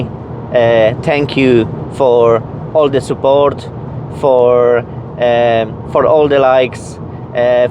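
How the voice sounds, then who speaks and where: Slow-talking Polish-accented man, noisy background. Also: mid-range voice. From Poland. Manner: halting, repeats and rephrases phrases. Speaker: YouTube vlogger. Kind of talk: casual storytelling and chat to viewers.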